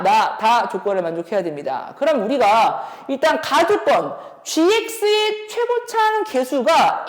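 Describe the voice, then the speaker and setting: A woman teaching as a math instructor, explaining exam problems step by step and posing rhetorical questions as she thinks aloud. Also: fairly high pitch. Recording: reverberant room.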